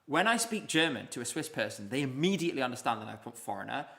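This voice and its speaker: A man's voice: medium pitch, big pitch swings, fast, gravelly, sharp tone. A man hosting a live stream, chatting casually and rambling with many ums as he reads out viewer comments. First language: English. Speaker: livestream host. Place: indoors, streaming from Basel.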